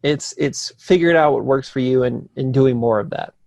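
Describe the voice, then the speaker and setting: A man's voice: mid-range voice, normal speed, vocal fry, darkish tone, dry recording. A man from New Jersey, a nutrition coach talking casually as a podcast guest, easygoing and full of fillers. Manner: easygoing, loose with fillers and restarts. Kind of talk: casual conversation.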